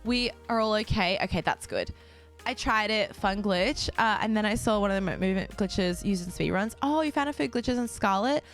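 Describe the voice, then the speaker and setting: A young woman streaming a game and chatting casually with her viewers, bubbly and quick to laugh, her voice a little raspy from just waking up. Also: mid-range voice, faint music behind. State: slightly raspy, just woken up. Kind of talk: casual chat with a live stream audience.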